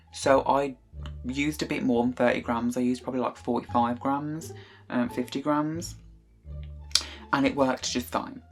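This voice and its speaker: A young British man chatting his way through a casual cooking vlog in his bedroom, rambling with lots of ums and self-corrections. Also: mid-range voice. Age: young adult. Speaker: vlogger. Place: small bedroom indoors. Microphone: desk webcam at close range.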